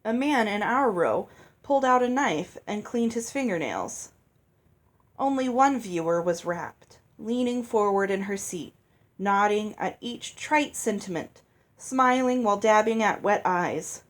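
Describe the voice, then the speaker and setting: A woman's voice: medium pitch, lively intonation, measured pace. A woman reading a novel aloud as narrator, in a storytelling style. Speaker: audiobook reader.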